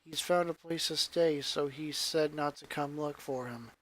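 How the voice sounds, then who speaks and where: A man's voice: a little high, fairly even intonation, a little slow, fairly bright tone, voice pushed down. A man voicing a weary, subdued teenage girl who speaks solemnly in a read-aloud story.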